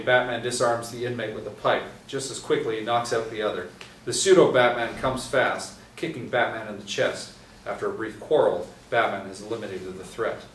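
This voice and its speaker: Brief: man narrating action lines, steady low voice, faint room noise. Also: echoey room, recorded from a distance, rough recording. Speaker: narrator reading scene directions. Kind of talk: table read of a screenplay.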